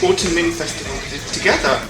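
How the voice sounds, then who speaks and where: A woman's voice: fairly low pitch, fast, far from the mic. A woman interviewer chatting casually with guests. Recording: echoey, off-mic, music behind.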